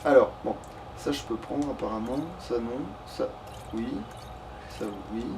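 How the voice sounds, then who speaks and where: A man's voice: mid-range voice, fairly even intonation, a little slow, creaky. A man giving casual, sweary gaming commentary, rambling and repeating himself as he chats with a friend. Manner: informal, sweary, rambling and repetitive. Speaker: let's play gamer. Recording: distant mic with faint noise.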